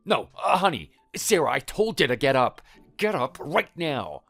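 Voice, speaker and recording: A man's voice: on the high side, big pitch swings, moderate pace, creaky, a bit bright, no echo, faint music behind, exaggerated voice. A man acting a defensive, flustered husband caught cheating in a dramatized audio story, stammering out excuses. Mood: panicked, pleading.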